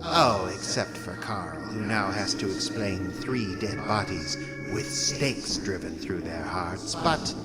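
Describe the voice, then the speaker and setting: Creepy gleeful warlock horror host, slow and drawn out, loud music under him. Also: male voice, deep voice, lively intonation. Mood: gleeful, sly. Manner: theatrical, droll, drawn-out. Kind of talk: horror anthology host narration.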